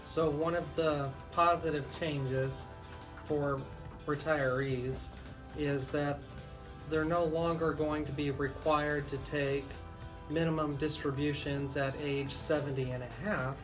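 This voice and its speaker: A man with a fairly high voice talks as a legal expert on a radio show. He explains in a deliberate way, pausing within his sentences. Music plays clearly underneath his speech. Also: flat delivery.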